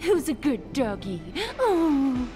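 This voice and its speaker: A woman's voice: sits high, sing-song, slow pace, dark tone, faint music behind, heavily acted. An actress playing a loyal royal heroine, a queen's sister, in a video game. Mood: doting.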